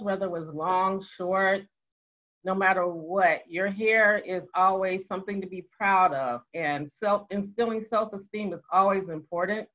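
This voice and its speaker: A woman's voice: mid-range voice, a bit bright. An older woman co-hosting, explaining things to a group in a casual, conversational way with emphatic repetition. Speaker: co-host. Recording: dull, lo-fi online call audio.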